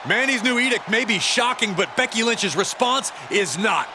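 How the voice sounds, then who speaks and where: High-pitched wrestling announcer over roaring crowd. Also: male voice, animated, moderate pace. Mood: excited. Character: excitable male TV wrestling announcer. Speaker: wrestling commentators. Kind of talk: excited sports commentary. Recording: loud arena crowd and noise behind. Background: roaring arena crowd.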